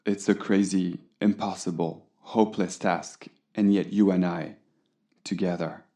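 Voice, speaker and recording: A man playing an earnest, romantic, melancholy French film director in an audio drama, speaking reverently and poetically in measured phrases. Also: deep voice, fairly even intonation, mellow. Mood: reverent, wistful.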